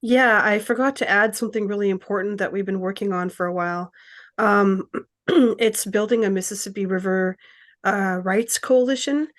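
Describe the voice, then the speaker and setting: A woman speaks as a community organizer on a conference panel. Her voice sits at a middle pitch, and she talks hesitantly, with plenty of fillers between phrases. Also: normal speed.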